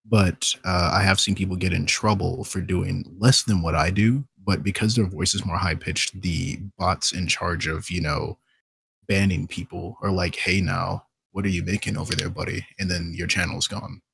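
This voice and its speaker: Gravelly, very husky man. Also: low-pitched, fairly even intonation, normal speed, a bit bright, dry recording, low-quality recording. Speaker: voice actor on a panel. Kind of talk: casual podcast chat.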